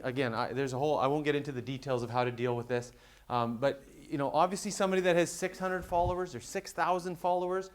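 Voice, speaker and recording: A man giving a conversational business presentation at a conference, explaining with quick everyday examples. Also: medium pitch. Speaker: conference speaker.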